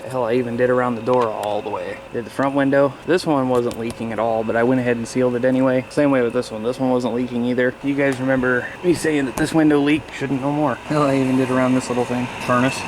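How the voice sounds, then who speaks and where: A man giving a casual, folksy walk-around vlog to his viewers, talking informally into a handheld camera. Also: medium pitch. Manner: informal, folksy, addresses viewers as "you guys". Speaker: YouTube vlogger. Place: backyard shed and outdoors on an RV. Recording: loud motor and air-conditioner noise behind voice.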